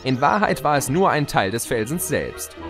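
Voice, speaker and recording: Man as gloating trickster chaos spirit, very lively pitch, mocking, theatrical, music behind, audio drama. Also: moderate pace. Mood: smug narrating.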